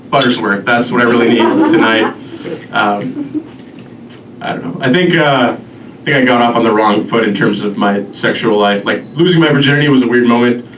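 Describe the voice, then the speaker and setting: A man from Albania doing a self-deprecating stand-up comedy set, with a deadpan, halting delivery. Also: medium pitch. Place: live comedy show before an audience. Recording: roomy echo, distant mic, noisy live venue.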